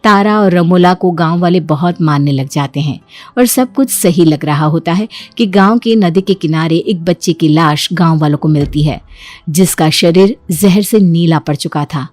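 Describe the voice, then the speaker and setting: A woman's voice: fairly low pitch, moderate pace, a little mellow. A woman reading scripted folk-tale narration as a storyteller.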